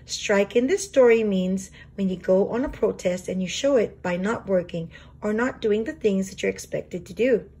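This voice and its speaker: A woman reading a children's storybook aloud as a teacher would, expressive and explanatory. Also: mid-range voice, normal speed, hardly any pauses, slightly rough.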